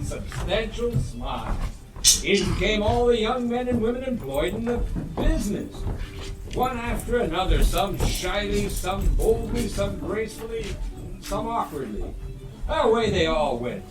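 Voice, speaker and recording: A man narrating a Christmas tale on stage as a theatrical storyteller. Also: high-pitched. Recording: roomy live stage, distant mic, music behind. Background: music.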